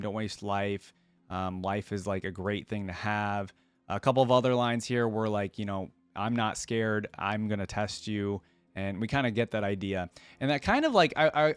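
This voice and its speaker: A man with a fairly low, clear voice gives casual commentary as a video host. He rambles conversationally, working through his thoughts aloud. The sound is dry, with very little room in it. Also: dry recording. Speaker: YouTube reaction host. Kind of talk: casual reaction commentary to camera.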